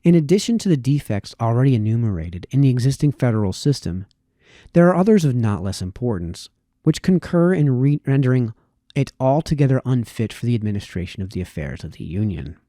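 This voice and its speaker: A man reading formal historical prose aloud in a measured, deliberate way, with occasional stumbles over words. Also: mid-range voice, sing-song, dark tone, no room sound, clean studio sound. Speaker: reader, host of a reading series.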